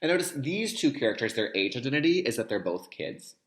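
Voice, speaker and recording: Man reading aloud to children, lively pitch. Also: fairly quick, reverberant. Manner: addresses young listeners directly, repeats key terms. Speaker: kindergarten teacher reading a picture book aloud. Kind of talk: instructional read-aloud with explanatory asides.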